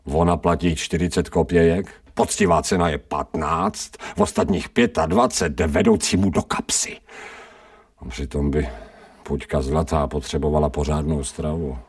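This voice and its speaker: An actor playing a scrawny, streetwise stray dog in a radio drama, grumbling in colloquial speech with sardonic self-pity. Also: male voice, extremely low pitch, slightly rough, distant mic, exaggerated voice. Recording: 1990 radio drama, off-mic.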